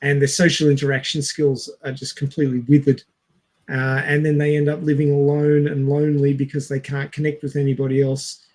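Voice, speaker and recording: A man's voice: mid-range voice, completely flat delivery, a little fast, darkish tone. Man chatting hesitantly on a headset. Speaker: podcast co-host. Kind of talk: casual film podcast chat.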